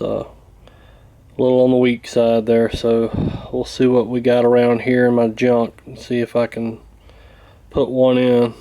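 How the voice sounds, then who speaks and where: A man giving a casual hands-on repair walkthrough in a folksy, hesitant, unhurried way. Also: medium pitch, dark tone. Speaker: radio repair hobbyist demonstrating.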